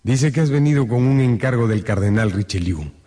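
An actor playing a stern, distrustful count with an accusing, commanding manner in a radio drama. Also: male voice, mid-range voice, lively intonation, fairly quick, dark tone, natural voice. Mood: suspicious. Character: stern, distrustful English count confronting his sister-in-law.

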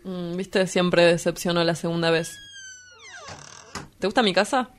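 A woman's voice: on the low side, steady tone, unhurried, close to an everyday voice. Woman acting brooding, blunt Argentine night-dweller. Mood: dry, guarded. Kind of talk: radio drama acting.

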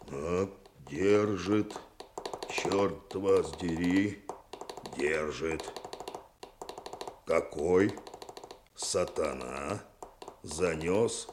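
An old lighthouse keeper in a noisy vintage radio drama. His voice is deep and gruff, grumbling slowly and cursing with irritable temper. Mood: gruff, irritated. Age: older. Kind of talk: 1960s Soviet radio drama acting.

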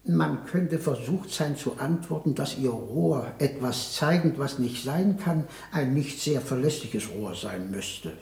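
An actor playing a dryly skeptical, pedantic court mathematician in a 1960s German radio play, measured and politely evasive. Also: male voice, mid-range voice, expressive, vocal fry, a little mellow, echoey room.

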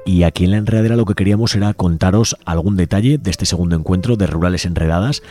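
A man's voice: low-pitched, fast talker. A man from Spain hosting a community radio show, speaking unhurriedly and often restarting and rewording his phrases. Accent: Peninsular Spanish. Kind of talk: unscripted radio talk segment. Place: radio studio. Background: soft music bed.

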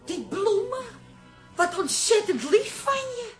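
Female, forties, radio comedy: brash, defiant, playful, very high voice with unhurried delivery. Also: big pitch swings, soft background music, exaggerated voice. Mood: delighted. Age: 40s. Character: feisty, defiant, playful woman in her forties. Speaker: radio drama actor. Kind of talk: comic radio play acting.

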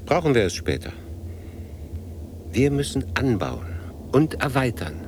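An anxious man in a radio drama, always urging haste. His mid-range voice swings widely in pitch as he gives short, pressing commands. A faint noise lies behind him. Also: big pitch swings, a little slow, darkish tone. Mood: restless. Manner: urgent, clipped commands. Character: anxious, urgent man hurrying his beloved to flee. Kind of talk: German radio drama acting.

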